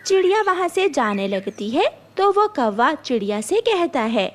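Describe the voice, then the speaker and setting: A woman's voice: high-pitched, big pitch swings, a little slow. A woman storyteller reading scripted narration for a children's cartoon story.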